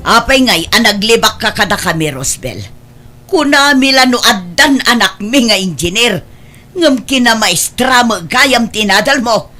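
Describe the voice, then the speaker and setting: A woman's voice: on the high side, expressive, measured pace, bright, forceful tone, exaggerated voice. Woman acting a demanding, money-minded Ilocano mother. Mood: disappointed. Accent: Ilocano Filipino. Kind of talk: Ilocano family audio drama acting.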